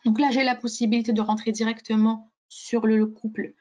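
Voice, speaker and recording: A woman giving an unscripted webinar demonstration of a software tool, explaining it step by step. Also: on the high side, level tone, brisk.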